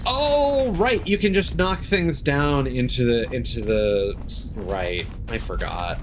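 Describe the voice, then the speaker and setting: A man giving casual live commentary as he plays a video game, talking haltingly as he thinks aloud. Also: medium pitch, animated, background noise.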